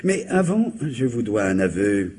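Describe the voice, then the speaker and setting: An actor playing a polite, dryly ironic doctor in a radio comedy-mystery, formal and deadpan. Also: male voice, on the low side, measured pace, a little hiss or hum. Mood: drily ironic.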